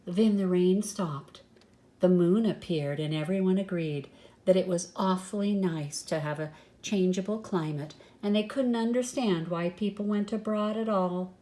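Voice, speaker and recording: An older woman reading a picture book aloud as a grandmother, expressive and unhurried, with some hesitation. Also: on the low side. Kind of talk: storybook read-aloud with soft asides.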